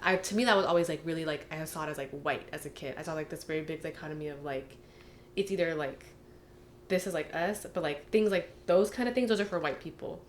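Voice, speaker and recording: Lower-pitched young American woman, noisy recording. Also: fast. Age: young adult. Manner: informal, heavy use of "like" and "you know". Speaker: anonymous testimony participant. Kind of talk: casual reflective conversation.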